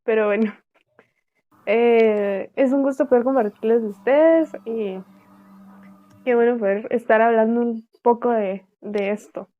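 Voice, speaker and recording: A woman's voice: fairly high pitch, fairly even intonation, measured pace. Young Guatemalan woman host, tinny phone-like sound. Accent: Guatemalan Spanish. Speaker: podcast host.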